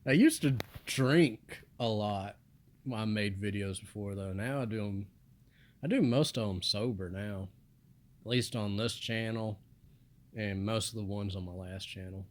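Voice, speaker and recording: Monotone, mumbling young man. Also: lowish voice, measured pace. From United States. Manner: monotone, flat delivery with mumbled asides. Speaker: vlogger. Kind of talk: casual rambling monologue.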